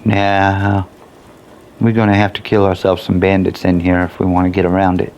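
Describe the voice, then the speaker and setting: A man's voice: low voice, completely flat delivery, moderate pace, a little mellow. A man giving casual commentary while he plays a video game. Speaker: gameplay streamer reviewing game mods. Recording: noticeable background noise.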